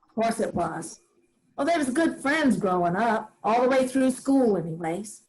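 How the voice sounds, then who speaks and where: A woman's voice: on the high side, animated, normal speed, a little mellow, lightly acted, rough recording. A woman playing a folksy old grandmother who tells family stories in a drawling, homespun way. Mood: fond. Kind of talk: staged play reading.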